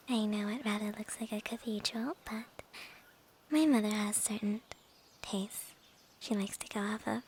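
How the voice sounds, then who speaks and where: A woman performs a coy young female vampire for an intimate ASMR roleplay. Her voice is soft and breathy, often whispered, with a creaky edge. She speaks slowly and hesitantly, playful and secretive, over faint background noise. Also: medium pitch, close to an everyday voice. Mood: coy, amused. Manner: coy, hesitant, drawn-out phrasing with soft chuckles. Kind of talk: ASMR roleplay, intimate girlfriend-style monologue.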